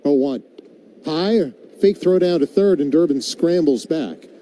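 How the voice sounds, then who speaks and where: A man's voice: a little high, expressive, unhurried, dark tone. A man doing live radio play-by-play commentary of a baseball game as the announcer. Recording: background noise of an outdoor ballpark.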